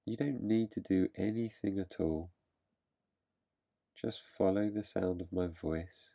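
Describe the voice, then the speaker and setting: Male meditation guide, deep creaky voice, unhurried phrasing with long pauses, slightly dull recording.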